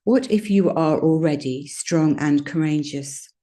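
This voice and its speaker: Low, mellow-voiced woman guiding meditation. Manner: soothing, gentle, unhurried instructions with pauses. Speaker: yoga nidra meditation guide. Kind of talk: guided meditation.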